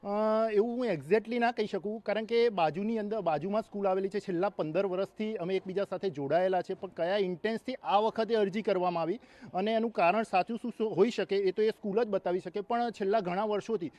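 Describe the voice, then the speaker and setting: Fast, high-pitched Gujarati man. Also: darkish tone. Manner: formal, mixes in English words. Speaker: school principal. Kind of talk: interview answers explaining his side.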